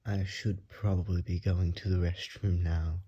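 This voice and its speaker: A man voicing a courteous, ingratiating vampire visitor in an ASMR roleplay, softly and often in a whisper, with polite, old-fashioned, hesitant and flattering speech. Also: deep voice, a little slow, dull audio, a little hiss or hum. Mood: grateful, charmed. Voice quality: soft, breathy, often whispered.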